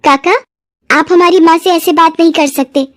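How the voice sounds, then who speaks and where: A woman's voice: very high voice, steady tone, moderate pace, dry recording, clean studio sound, pitched above the performer's own voice. A woman voicing an earnest, emotional young stepdaughter, dutiful but brave, in a moral story. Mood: defiant.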